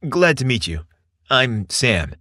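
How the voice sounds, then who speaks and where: A man's voice: medium pitch, very animated, slow, no room sound, studio quality, exaggerated voice. Man voicing a bashful, nerdy young man. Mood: relieved. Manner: soft-spoken and bashful.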